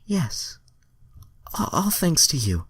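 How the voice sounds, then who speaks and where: A man voicing an adoring, eager young alien superheroine in a breathy falsetto, with a gushing, dramatic delivery. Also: big pitch swings, slow pace, fairly bright tone, no echo, clean studio sound, full character voice. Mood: grateful.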